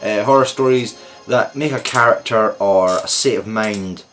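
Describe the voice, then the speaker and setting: A man's voice: lowish voice, normal speed, fairly bright tone, faint music behind. A man hosting his own channel, introducing a video in a rambling, joking way with many restarts. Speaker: channel host.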